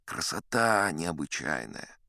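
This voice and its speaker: A man's voice: sits low, big pitch swings, slow, creaky, sharp tone, no room sound, studio quality, exaggerated voice. An actor playing a boastful old sea captain who spins yarns in a pompous, chatty, theatrical storytelling manner, savouring his words. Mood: vivid storytelling. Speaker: audiobook actor narrating in character. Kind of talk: theatrical storytelling in an audio play.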